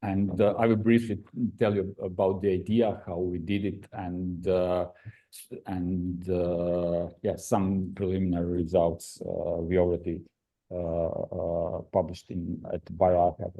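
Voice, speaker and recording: A man's voice: sits low, level tone, slow pace, narrow, tinny audio. A middle-aged man from Croatia, giving an academic seminar talk with many "uh" fillers. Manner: non-native phrasing, frequent "uh" fillers. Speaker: invited speaker, researcher. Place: lecture room.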